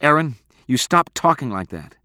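A man's voice: mid-range voice, big pitch swings, normal speed, a bit bright, no echo, studio quality, voice pushed up. A man voicing a practical, devoted teenage girl in an audiobook, scolding and insistent in her manner. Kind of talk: audiobook character acting.

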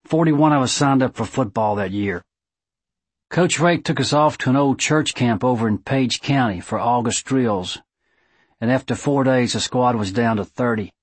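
Man putting on a squeaky Southern old judge. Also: normal speed, breathy edge, no room sound, natural voice. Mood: earnest, reminiscing. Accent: Southern US drawl. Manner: drawling, oratorical. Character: aging small-town judge, earnest former football player. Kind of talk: audiobook character eulogy.

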